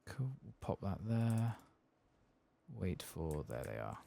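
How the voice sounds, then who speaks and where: A soft-spoken man murmuring relaxed ASMR commentary to himself as he plays a game. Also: sits low, slow pace, creaky, a little hiss or hum. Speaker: game streamer. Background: keyboard typing and clicking.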